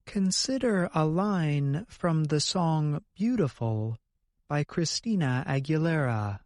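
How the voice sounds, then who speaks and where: A man's voice: on the high side, animated, takes it slowly, darkish tone, no echo, studio quality. A man co-hosting an educational podcast, reading scripted explanations in a careful, explanatory manner. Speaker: co-host of an English lesson podcast.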